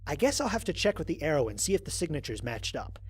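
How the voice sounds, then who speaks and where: A man's voice: on the high side, fast talker, breathy edge. A man playing a lone astronaut who narrates his mission logs in a wry, exasperated, conversational way, as in an audio drama. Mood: wry, upbeat. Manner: conversational, expressive, sardonic. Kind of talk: dramatized first-person log narration.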